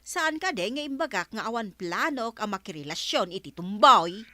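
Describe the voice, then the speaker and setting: A woman's voice: medium pitch, very animated, moderate pace, bright, forceful tone, dry recording. An actress with an Ilocano accent playing an evasive, exasperated village woman in a radio comedy-drama, with an emphatic, protesting manner.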